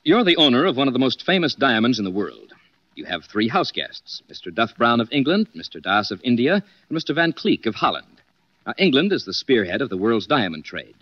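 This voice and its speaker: Male courteous sleuth, 1940s radio drama; unruffled, expressive voice, dull vintage sound. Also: medium pitch, a little fast. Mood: assertive. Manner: composed, polite, firm. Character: calm, courteous, shrewd male gentleman detective.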